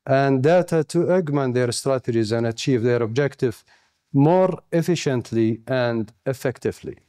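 A man's voice: mid-range voice, darkish tone. Saudi-accented man reading a formal keynote. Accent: Saudi Arabian. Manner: measured, reading from notes with deliberate pauses. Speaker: keynote speaker. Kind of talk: formal prepared speech. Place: conference stage, indoors. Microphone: handheld microphone on a stand close to the mouth.

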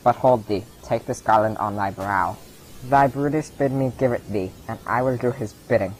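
A man's voice: mid-range voice, normal speed, faint music behind, close to an everyday voice, low-quality recording. A man playing a loyal, grieving Roman officer in a declamatory radio Shakespeare drama. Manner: declamatory verse delivery. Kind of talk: old-time radio Shakespeare acting.

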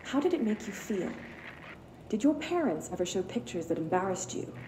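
A woman plays a calm therapist who probes with question after question in a dark, mellow voice. The room around her is echoey, with a faint hiss behind. Her tone stays level and patient. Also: mid-range voice, normal speed, natural voice. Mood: probing. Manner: probing, steady string of questions. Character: calm probing female therapist interviewer.

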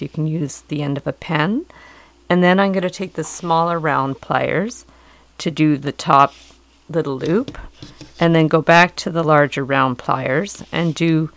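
Hoarse middle-aged woman teaching a craft. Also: low-pitched. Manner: calm, patient, explanatory. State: hoarse from a cold. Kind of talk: step-by-step craft instruction. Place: indoors at a work table.

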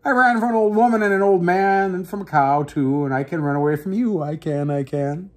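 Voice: medium pitch, brisk, darkish tone, pitched above the performer's own voice, natural voice. A storyteller voicing a small boastful gingerbread man who chants his taunts in a sing-song.

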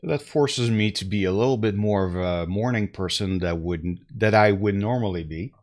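A man's voice: fairly low pitch, moderate pace, darkish tone. A man in his thirties from Canada, chatting casually on a live stream about tech deals through a headset, a little congested, with many fillers and restarts. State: slightly congested. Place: small room at home.

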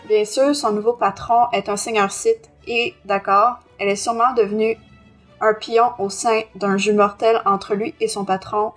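A woman co-hosting a podcast, reading a blurb aloud carefully with small stumbles. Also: medium pitch. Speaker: podcast co-host.

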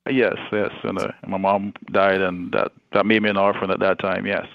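A man in his forties from Jamaica, a guest speaking earnestly with many pauses and fillers. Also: sits low, level tone, vocal fry, no echo, highs cut off. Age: 40s. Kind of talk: casual interview.

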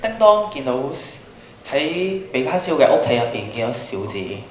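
A man's voice: on the high side, big pitch swings, moderate pace, a little hiss or hum. A young man with a Hong Kong Cantonese accent, improvising story narration as a student on stage. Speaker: student participant narrating a skit. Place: school auditorium stage. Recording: very echoey hall through PA.

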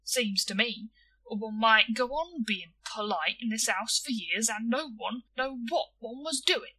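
A woman's voice: on the high side, big pitch swings, normal speed, bright, forceful tone, breathy edge, full character voice, low-quality recording. A woman voicing an earnest, self-important young boy in a story, blunt and stiltedly polite with clumsy grammar. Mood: bitter, irritable.

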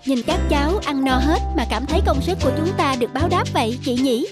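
Earnest, caring school canteen cook acted by a woman, motherly, animated film with music underneath. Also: high-pitched, quick pace, close to an everyday voice. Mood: satisfied. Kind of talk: children's cartoon dubbing. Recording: music behind the voice.

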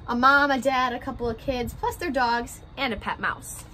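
A woman's voice: high-pitched, normal speed, pushed and bright, slight background noise. A woman in her twenties, a first grade teacher, talking and reading aloud expressively to young children, recorded outdoors on a porch. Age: 20s. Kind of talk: children's read-aloud and direct address to young pupils. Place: outdoors on a porch by glass doors.

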